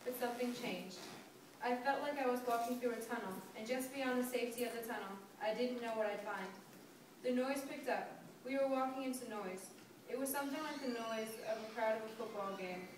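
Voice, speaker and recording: A woman's voice: on the high side, close to an everyday voice. A young woman student reading dramatically as a shaken marcher on streets she once knew well. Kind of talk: dramatic reading of poetic narrative. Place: large echoey indoor room, distant mic. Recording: distant, very echoey hall with noise.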